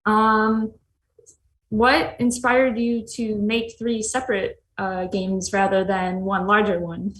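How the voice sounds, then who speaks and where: A woman's voice: mid-range voice, little pitch movement, slow pace. A woman asking casual questions as the interviewer on a panel. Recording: echoey room with faint background noise.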